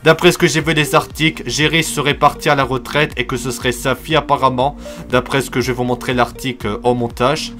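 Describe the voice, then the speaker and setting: A man's voice: medium pitch, fairly even intonation, a little fast, bright, forceful tone, faint music behind. A man giving casual cartoon news commentary as a channel host, rambling and repeating himself. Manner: rambling, repetitive, filler-heavy.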